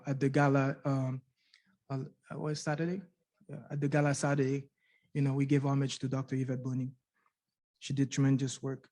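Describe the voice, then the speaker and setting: Earnest middle-aged man, flat delivery. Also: medium pitch. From Canada. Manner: earnest, repeats words, asks rhetorical questions. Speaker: conference speaker sharing his patient story. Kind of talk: personal testimony talk. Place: university conference room.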